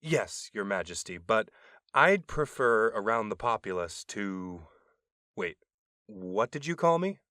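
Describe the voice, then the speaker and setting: A man voicing an alien right-hand man who speaks formally, earnestly and with a deadpan, literal manner. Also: fairly low pitch, takes it slowly, no room sound, clean studio sound, full character voice.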